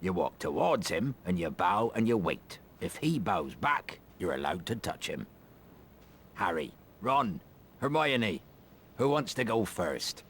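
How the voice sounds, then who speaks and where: A man voicing a big, gruff, friendly male groundskeeper, speaking in a folksy, hearty way in a game cutscene. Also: deep voice, sing-song, a little slow, a bit bright, a little hiss or hum, full character voice. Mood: encouraging. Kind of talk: video game cutscene acting.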